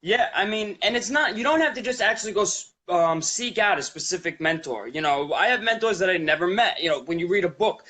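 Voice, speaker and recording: Man, interview host, slightly high voice, rambling, lots of you-knows. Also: fairly quick, hardly any pauses, pushed and bright. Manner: encouraging, rambling, many fillers. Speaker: show host. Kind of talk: casual interview talk.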